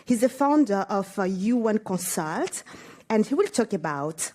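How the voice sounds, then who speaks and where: A woman presenting at a conference, introducing the next speaker in a slow, deliberate announcing manner. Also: medium pitch, sing-song, a little mellow. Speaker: event presenter. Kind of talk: stage introduction. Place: large conference hall.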